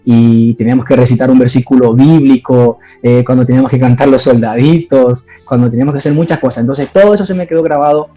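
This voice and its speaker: A man's voice: mid-range voice, fairly even intonation, quick pace, few pauses, dark tone, dull audio. A man from Ecuador, giving his church testimony in an interview and talking hesitantly, with many fillers and restarts.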